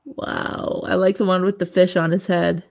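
A woman's voice: on the low side, little pitch movement, moderate pace, gravelly, darkish tone. A woman hosting a casual interview over a video call, giving short, laid-back, admiring reactions. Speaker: interviewer, gallery show host.

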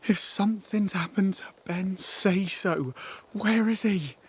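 A man playing a guilt-ridden, devout settler in a video game drama, with a breathy, halting, anguished delivery. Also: high-pitched, heavily acted. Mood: alarmed. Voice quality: breathy, husky, breaking into whispers.

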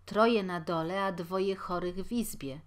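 A woman's voice: on the low side, a little slow, natural voice. A woman reading a plain-spoken working cooper in a storybook. He answers simply and folksily, in a voice close to her everyday one.

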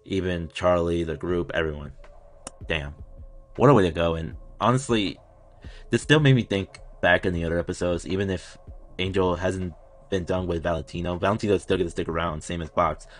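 Deep-voiced young man, rambling fan reaction. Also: a little fast. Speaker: reaction video host. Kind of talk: casual unscripted reaction commentary. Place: small curtained room at home, indoors.

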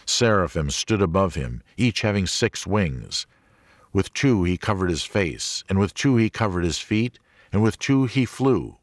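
A man reading a religious teaching audiobook as a narrator, in a measured, clearly enunciated manner. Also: on the low side, no room sound, clean studio sound.

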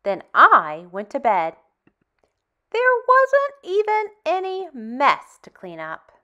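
A woman leads a children's storytime in a high, bright voice. Her pitch swings freely as she reads, with expressive, carefully spaced phrasing aimed at very young listeners. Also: highs cut off. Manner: animated, expressive, deliberate phrasing with dramatic hushes. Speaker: storytime host. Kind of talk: children's read-aloud, addressing young children.

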